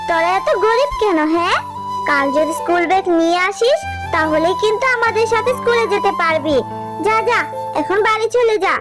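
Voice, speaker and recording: A woman's voice: very high-pitched, animated, a little fast, over loud music. A woman voicing a snooty young animal schoolgirl in a children's cartoon, bragging and taunting in a childlike manner.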